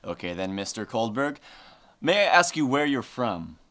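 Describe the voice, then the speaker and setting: A man's voice: mid-range voice, sing-song, moderate pace, a bit bright. A young man playing an earnest, overdramatic fellow agent in an amateur comedy sketch. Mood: formal. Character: overserious male fellow agent, paranoid.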